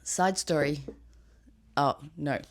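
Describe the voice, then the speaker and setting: A woman with a raspy, hoarse voice, chatting casually in a rambling vlog with fillers and laughs. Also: low-pitched, slow. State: hoarse after an event. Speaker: knitwear designer vlogger. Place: outdoors with birds nearby.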